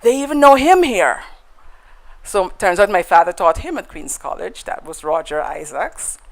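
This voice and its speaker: Low-voiced Guyanese woman telling stories. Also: big pitch swings, normal speed. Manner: reflective storyteller with many fillers and restarts. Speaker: interview guest. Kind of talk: conversational interview.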